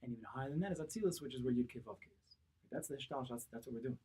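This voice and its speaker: A man's voice: mid-range voice, racing, dark tone, slightly rough, low-quality recording. A man in his thirties, a rabbi teaching a text class, asking questions and answering them himself while repeating key phrases. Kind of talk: Torah class, explaining a text. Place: small synagogue study room, seated at a table. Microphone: camera a few feet away across the table.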